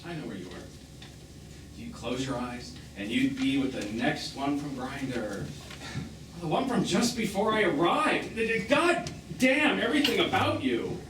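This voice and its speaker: A bitter, hurt man in his fifties, with a highish voice that swings widely in pitch. He is sarcastic and emphatic, like a betrayed lover in a stage play. The recording is noisy and caught from far off. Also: lively intonation, measured pace, roomy sound. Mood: hurt, accusing. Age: 50s. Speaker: stage actor. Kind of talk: live stage drama acting. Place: small theatre, recorded from the audience.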